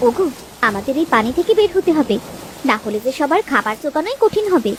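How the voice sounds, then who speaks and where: A woman's voice: sits high, big pitch swings, fast talker. A woman playing a worried village wife and mother in a cartoon, fretful but caring. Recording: noisy track with ambience behind.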